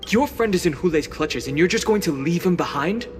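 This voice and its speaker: A man's voice: fairly high pitch, animated, brisk, soft background music, close to an everyday voice. An actor playing an earnest young traveller, loyal to his companions, in a scripted video game scene. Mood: indignant, determined.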